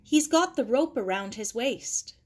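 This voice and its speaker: A woman's voice: a little high, expressive, unhurried, a little mellow. Woman as confident, plucky young girl. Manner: confident, reassuring. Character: reassuring, plucky young girl in 1940s Canada.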